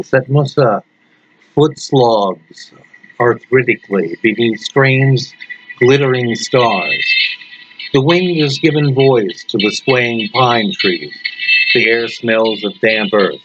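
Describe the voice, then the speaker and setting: A man reading a horror story as scripted narration, deliberately, with dramatic pauses. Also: mid-range voice. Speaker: story reader. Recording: dull lo-fi recording with loud background noise.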